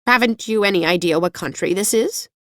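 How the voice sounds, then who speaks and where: A fussy storybook hen, acted out by a woman narrator. She is prim and skeptical, anxious by temper and quick to take fright. The sound is close and dry. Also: mid-range voice, a bit bright, no echo, lightly acted. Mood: prim, doubtful. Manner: prim, skeptical, easily alarmed. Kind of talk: audiobook character voice.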